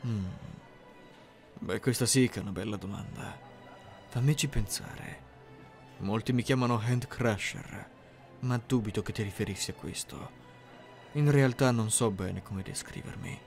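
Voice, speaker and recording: A man playing an earnest, socially awkward high-school boy in an anime-style roleplay, deadpan, literal and hesitant. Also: measured pace, vocal fry. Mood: pensive, awkward. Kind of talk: scripted anime fan-dub roleplay. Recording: music behind the voice.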